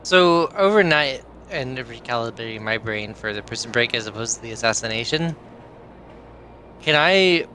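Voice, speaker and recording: A man's voice: medium pitch, animated, normal speed, sharp tone, slight background noise. A man playing a tabletop game, chatting casually and informally as he searches for words. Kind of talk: casual chat.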